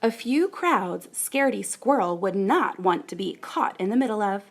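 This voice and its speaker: A woman reading a children's picture book aloud in an animated, deliberate way with dramatic emphasis. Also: medium pitch. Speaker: storybook reader.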